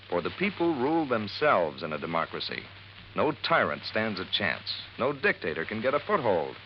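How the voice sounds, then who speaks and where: A man reading a scripted 1950s radio public-service message as an announcer, earnest and oratorical. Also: fairly low pitch. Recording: muffled old radio recording, faint noise.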